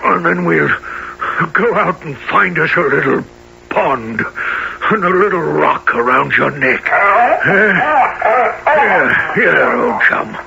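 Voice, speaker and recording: An actor in a radio play playing a brutal, guilt-haunted criminal on the run, rough and menacing. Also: male voice, fairly high pitch, big pitch swings, slow pace, heavily acted. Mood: guilty, frantic. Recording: muffled, very noisy radio-play recording.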